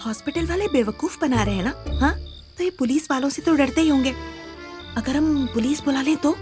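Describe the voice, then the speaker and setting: An actress playing an anxious, naive young woman in an audio drama, speaking haltingly and repeating her words. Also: high-pitched, lightly acted. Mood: fretful. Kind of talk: Hindi audio drama acting. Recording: music under the voice. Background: background music.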